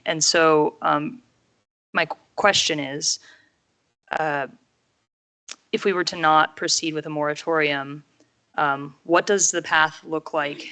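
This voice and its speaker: A woman city councilor asking questions in a thoughtful, halting way during council discussion in a council chamber. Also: low voice, sharp tone, clean tone.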